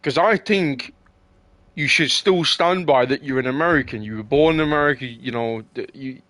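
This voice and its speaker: A man's voice: medium pitch, normal speed, a bit bright, dry recording. A man from England in casual, argumentative chat, swearing and often repeating words as he makes his point.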